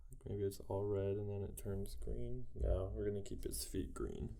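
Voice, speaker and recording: A man's voice: fairly low pitch, moderate pace, vocal fry. A man with a head cold, thinking aloud in a casual way as he teaches a digital painting tutorial. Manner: relaxed, musing aloud while working. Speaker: digital art instructor.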